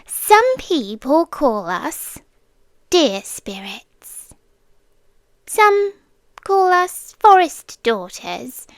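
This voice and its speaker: A British woman voicing a girlish, sweetly menacing and possessive deer spirit in an ASMR roleplay, coy and teasing, slipping between soft speech and whispers close to the mic. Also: high voice, sing-song, extremely slow, pauses often, no room sound, heavily acted. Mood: coy, playful. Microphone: close microphone.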